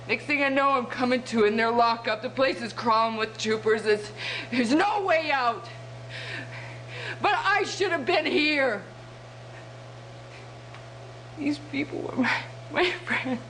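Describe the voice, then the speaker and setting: An actress playing a tough, vengeful resistance soldier in a video game, gritty, informal and emotional. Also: female voice, on the low side, fairly bright tone, poor audio. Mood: bitter, shaken, then grieving. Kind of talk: scripted video game cutscene acting. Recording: clear background noise with hum.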